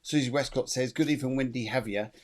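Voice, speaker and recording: A man's voice: mid-range voice, fast talker. A middle-aged man hosting a live online chat show, chatty and rambling, laughing often. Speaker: host.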